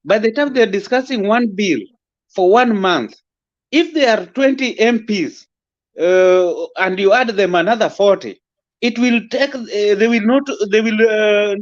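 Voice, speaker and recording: High-pitched Kenyan man, dull lo-fi sound. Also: measured pace. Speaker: participant in a political discussion. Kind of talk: impromptu political discussion.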